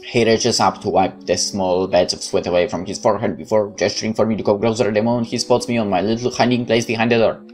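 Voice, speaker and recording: A man with a Polish accent hosts a game playthrough in a fairly low voice. He reads the story text aloud with some drama, stumbles now and then, and slips into casual asides. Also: steady tone, fast talker, few pauses, darkish tone, faint music behind. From Poland. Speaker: let's play host.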